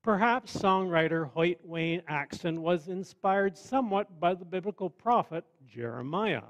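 Fairly high-voiced man preaching a sermon. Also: expressive, highs cut off. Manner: measured, conversational preaching with wry asides. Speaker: pastor.

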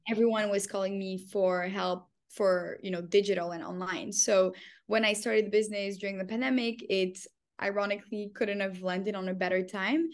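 A woman's voice: medium pitch, fairly even intonation, moderate pace. A woman in her twenties, born in France and raised in Canada, talking eagerly as a guest in a casual podcast interview and laughing often. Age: 20s. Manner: enthusiastic, gushing, laughs often.

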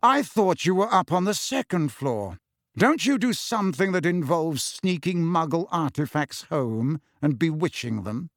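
A cold, haughty middle-aged wizard, voiced by a male narrator. He drawls with icy politeness, his pitch swinging wide before each phrase sinks into a quiet sneer. The storybook reading is dry and close. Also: sing-song, dry recording. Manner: slow, cool drawl with soft trailing endings.

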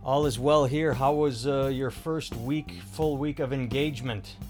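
A man's voice: mid-range voice, steady tone, unhurried, darkish tone, faint music behind. Rambling, swearing podcast host, a man. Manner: rambling, swears freely, stammers restarts. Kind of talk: casual chat.

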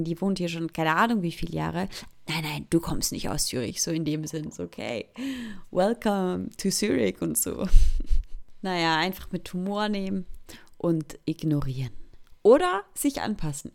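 A woman's voice: lowish voice, vocal fry. A young woman from Thurgau with a Swiss German accent that is partly Zurich, talking candidly about her own life in a casual vlog. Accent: Swiss German, Thurgau mixed with Zurich. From Thurgau, Switzerland. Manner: candid, chatty, self-mocking. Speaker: vlogger. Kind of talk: casual personal monologue.

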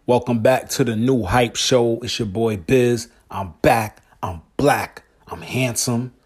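A man's voice: mid-range voice, takes it slowly, slightly rough. A man in his forties hosting a solo podcast, talking casually and slangily straight to his listeners. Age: 40s. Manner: laid-back, slangy, talks straight to listeners. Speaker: podcast host.

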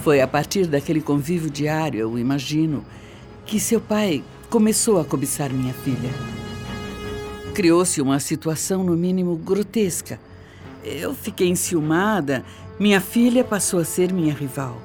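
An actress with a Brazilian accent playing a composed, calculating middle-aged mother in a radio soap opera, speaking in a measured, hesitant, confessional way. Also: low voice, lively intonation, music in the background. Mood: regretful.

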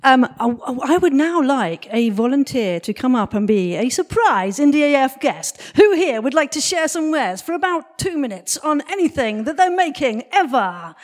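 A woman's voice: high voice, big pitch swings, moderate pace, few pauses. A woman hosting a live interview in front of an audience, effusive and playful in her manner. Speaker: host of an interview show. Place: live event room with audience. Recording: echoey hall, music faintly present.

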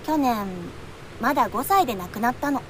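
A woman playing a gentle, earnest high school girl in a radio drama, with casual youthful phrasing. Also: animated, moderate pace, noisy background, lightly acted. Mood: earnest, subdued.

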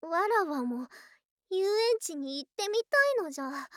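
High-pitched, animated young lamia wife. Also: female voice, measured pace, no room sound, clean studio sound. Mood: excited, playful. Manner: archaic haughty speech, flustered stammering when embarrassed. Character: proud, excitable young female lamia wife, affectionate, archaic-speaking. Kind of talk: Japanese situational ASMR voice drama.

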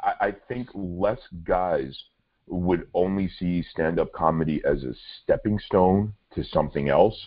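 Very deep-voiced man hosting a casual podcast. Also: unhurried, no room sound, dull audio. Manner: rambling, self-interrupting, dry humor. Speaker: podcast host.

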